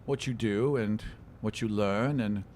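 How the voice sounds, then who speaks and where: A man's voice: fairly low pitch, a little slow, a little mellow, a little hiss or hum, close to an everyday voice. A man playing a fussy, watchful inquisitor in a tabletop game, speaking in a hesitant, officious way. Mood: officious, wary. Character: fussy bureaucratic male inquisitor, watchful, matter-of-fact.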